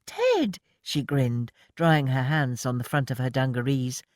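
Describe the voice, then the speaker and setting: A woman's voice: rumbling low, fairly even intonation, no echo, studio quality. A reader voicing Annie, a blunt young woman who works an allotment. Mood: delighted. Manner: blunt, quick to grumble.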